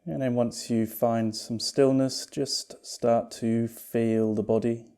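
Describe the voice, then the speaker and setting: A man leading a guided yoga meditation as a teacher, calm and unhurried, speaking in a soothing, gently reassuring way. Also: mid-range voice, smooth.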